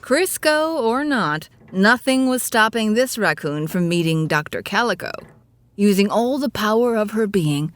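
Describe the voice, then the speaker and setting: A woman narrating a scripted audio drama in a storybook style. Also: mid-range voice. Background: music with guitar.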